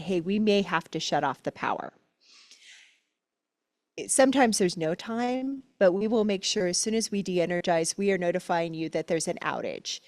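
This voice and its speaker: A woman's voice: lowish voice, a little mellow, no echo. A woman speaking as a company representative at a community meeting, explaining things in a conversational, informal way. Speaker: utility company representative presenting.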